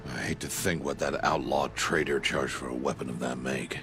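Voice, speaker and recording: A man playing a stern, stoic middle-aged hunter and mentor in a video game, speaking in a grave, measured, solemn way. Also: fairly even intonation, fairly bright tone. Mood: grave, restrained. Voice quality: very deep, weighty. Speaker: mentor and guardian. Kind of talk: dramatic video game acting. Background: prominent background music.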